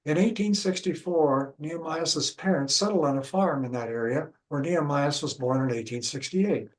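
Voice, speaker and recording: An older man with a Midwestern American accent reads a prepared history talk. His delivery is measured and careful, and his voice sits a little high for a man. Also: rough recording. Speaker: local historian giving a talk. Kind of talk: reading a prepared lecture.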